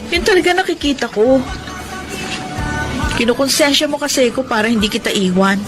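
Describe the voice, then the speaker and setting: An actress playing a conflicted, defensive young sweetheart with a Filipino accent in a radio drama. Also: female voice, high voice, expressive, moderate pace. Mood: exasperated. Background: clear background music.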